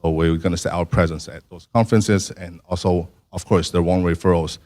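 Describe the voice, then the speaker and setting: A low-voiced man fields questions about his startup, pausing often and restarting his phrases. His delivery is halting and searching but keeps pressing on to answer. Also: fairly even intonation, darkish tone. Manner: halting, many fillers and restarts. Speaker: startup founder.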